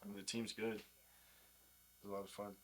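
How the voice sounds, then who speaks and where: A man's voice: lowish voice, level tone, normal speed. A young man from the United States, a baseball player answering questions in a casual interview. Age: young adult.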